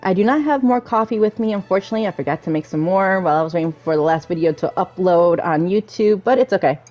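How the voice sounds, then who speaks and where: A woman's voice: on the low side, quick pace, a little mellow. A woman hosting a gaming video, chatting casually and thinking aloud as she plays and reads quest text. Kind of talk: casual let's play commentary, reading quest dialogue aloud. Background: video game music.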